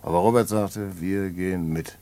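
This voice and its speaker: An actor playing a boastful small-time crook in a radio play, telling a story in chatty, colloquial slang. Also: male voice, sits low, fairly even intonation, moderate pace, mellow.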